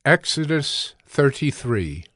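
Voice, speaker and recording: A man's voice: mid-range voice, big pitch swings, slow pace, fairly bright tone, dry recording, clean studio sound. A man narrating scripture as a dramatic, scripted audio production. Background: cinematic music.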